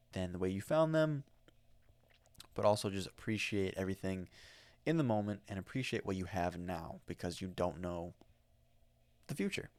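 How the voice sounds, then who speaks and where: A man's voice: low-pitched, a little mellow, clear, resonant tone, dry recording. An American man hosting a solo podcast, telling a travel story in a rambling, enthusiastic way, with many fillers and restarts. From United States. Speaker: podcast host. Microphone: studio microphone.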